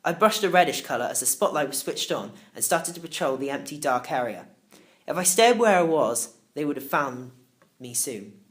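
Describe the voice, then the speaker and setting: A man narrating a children's novel in the first person, expressive and theatrical. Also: on the high side. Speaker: audiobook narrator.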